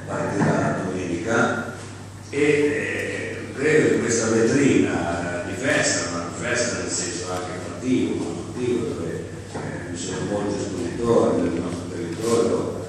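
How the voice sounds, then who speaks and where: Deep-voiced man in an echoey hall. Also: far from the mic, background noise. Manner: halting, many fillers, lists names and titles. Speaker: local official opening a press presentation. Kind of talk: formal welcome speech at a press conference. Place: large council room with high walls, indoors. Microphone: table gooseneck mic, recorded from the back of the room.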